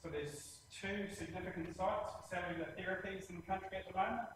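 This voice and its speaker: A man with a New Zealand accent giving a presentation on hospital plans, speaking plainly with many pauses and a habit of saying "actually". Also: sits high, far from the mic, background noise. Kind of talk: presentation in a lecture series. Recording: very echoey room, voice from afar, faint ambience.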